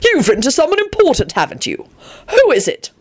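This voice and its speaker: Woman acting sour lieutenant, male, bossy, growling impatience, raised pitch, storybook character. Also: huge pitch swings, brisk. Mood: demanding, rude. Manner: demanding, growling, gruff.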